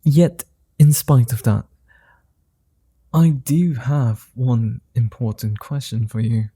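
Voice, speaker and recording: Male vampire, gentlemanly and amused, man voicing: extremely slow delivery, very dark and mellow tone, formal old-world phrasing, ASMR roleplay. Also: medium pitch, fairly even intonation, breathy edge, no echo. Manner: formal, old-fashioned phrasing with soft chuckles.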